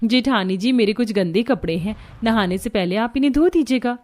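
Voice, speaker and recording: A haughty young sister-in-law from a cartoon family story, acted by a woman. Her voice is high, and she talks in a brisk, dismissive, entitled way, fully sure of herself. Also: expressive, darkish tone, close to an everyday voice. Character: young working sister-in-law, haughty, bossy, self-assured.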